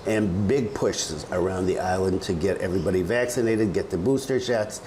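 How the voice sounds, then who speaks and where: A man's voice: sits low, moderate pace, darkish tone, slight background noise. A man hosting a casual news update video, reading figures and articles aloud and adding his own chatty asides. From lives in Bali. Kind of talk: casual spoken news roundup.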